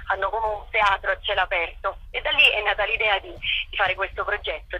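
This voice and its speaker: A woman's voice: medium pitch, bright, forceful tone, slightly rough, no room sound. A woman, a guest on a radio phone interview, explaining expressively and with enthusiasm. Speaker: guest, actress and writer. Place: on a telephone line. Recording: telephone call on radio.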